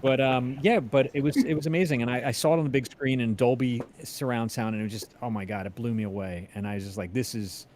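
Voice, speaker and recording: A man co-hosting a music show, telling stories in a casual, animated, halting and slangy way. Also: medium pitch, a little hiss or hum. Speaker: co-host of a music interview show. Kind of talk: casual chat, enthusiastic storytelling.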